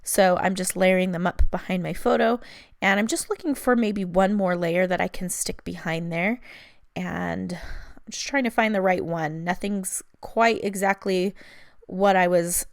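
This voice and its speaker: Hoarse woman chatting through a craft project. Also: fairly low pitch, measured pace, no room sound, clean studio sound. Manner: rambling and chatty, with many fillers. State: hoarse from a cold. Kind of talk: casual voice-over narration of a crafting video.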